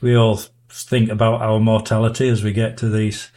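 An older man with a Yorkshire accent, a diver and author, reminiscing in a halting, self-effacing way as a guest in a casual podcast interview. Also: fairly low pitch, flat delivery, warm tone. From Yorkshire, northern England.

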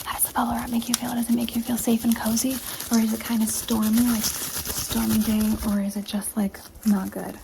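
A woman whispering softly through an ASMR roleplay, asking slow, repeated questions close to the camera mic. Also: a little high, little pitch movement, vocal fry, noisy background. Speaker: ASMR creator.

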